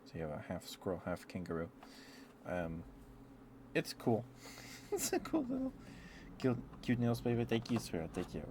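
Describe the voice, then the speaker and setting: A man chatting in a rambling, affectionate ASMR style to live viewers, speaking softly into a close microphone. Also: fairly low pitch, wildly swooping pitch, normal speed. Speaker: ASMR livestreamer. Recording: clear background noise.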